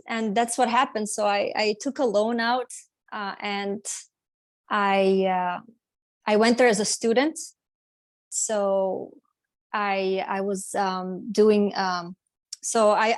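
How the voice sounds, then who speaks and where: Slow-talking woman guest. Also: medium pitch, no room sound. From Sweden. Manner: hesitant, many fillers and restarts. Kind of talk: casual personal storytelling interview.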